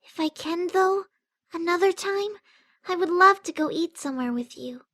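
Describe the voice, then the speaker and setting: A man voicing a shy, sweet young female pegasus pony in soft, hesitant falsetto for a fan fiction reading. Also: exaggerated voice. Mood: wistful. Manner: slow, hesitant, tender.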